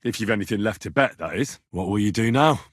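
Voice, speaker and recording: A man's voice: on the low side, lively intonation, quick pace, dry recording, studio quality. A man voicing a young medieval man-at-arms in a video game, earnest, cheeky and plain-spoken, talking in a direct, inquisitive way. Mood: friendly, inviting. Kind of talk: video game dialogue acting.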